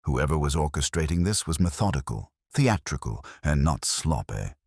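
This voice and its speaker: A man reading a mystery story as a scripted audiobook narrator, in a measured, dramatic storytelling manner. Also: very deep voice, big pitch swings, creaky, a little mellow, breathy edge, no room sound, clean studio sound. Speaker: narrator and reader.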